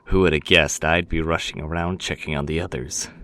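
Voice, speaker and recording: A man's voice: very deep voice, fairly even intonation, a little fast, a bit bright. A man playing an aloof, watchful warrior hero of ice in a fan audio drama, speaking in a terse, brooding way. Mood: wary curiosity.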